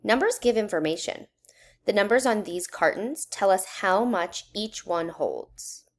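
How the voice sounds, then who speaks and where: A woman reads a simple children's book aloud as an educator would. Her mid-range voice moves up and down in a very animated way, with measured, instructional phrasing aimed at young listeners. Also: mid-range voice. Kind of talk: children's read-aloud narration.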